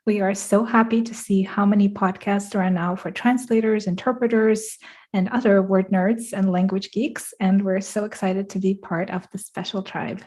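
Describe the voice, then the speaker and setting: A woman's voice: mid-range voice, fairly even intonation, normal speed, darkish tone. A middle-aged woman who grew up in Russia and speaks Russian as her first language, co-hosting a podcast and telling stories with frequent laughter. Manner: upbeat, laughs often while telling stories. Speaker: podcast co-host. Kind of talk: live podcast chat, storytelling.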